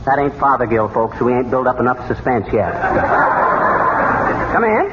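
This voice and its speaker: An actor playing a blustery, good-natured, scheming small-town husband who wisecracks in folksy fashion in 1930s radio comedy. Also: male voice, mid-range voice, sing-song, moderate pace, natural voice. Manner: folksy, muttering to himself, wisecracking. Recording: very noisy, muffled 1930s radio broadcast.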